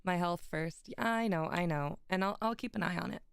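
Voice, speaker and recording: A young woman streamer, a little hoarse, chatting with viewers and then reading classic prose aloud with giggles and small stumbles. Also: lowish voice, normal speed, no echo. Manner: giggly, chatty, stumbles over foreign words. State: slightly rough, hoarse voice. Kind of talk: casual chat and literary reading aloud.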